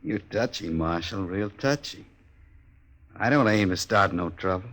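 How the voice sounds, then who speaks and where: An American actor playing a cocky drifting cowboy who needles with false friendliness and folksy talk in a 1950s Western radio drama. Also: male voice, low-pitched, lively intonation, a little slow, fairly bright tone. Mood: sly, taunting. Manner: taunting, drawling folksy talk.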